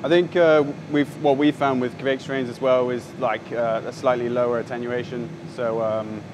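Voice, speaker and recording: A young man of middle pitch explains brewing methods in a measured way, pausing on frequent ums. He stands among steel tanks on a brewery floor. Machinery hum runs clearly underneath him. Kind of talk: technical explanation in a casual tasting chat. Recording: steady machinery hum behind.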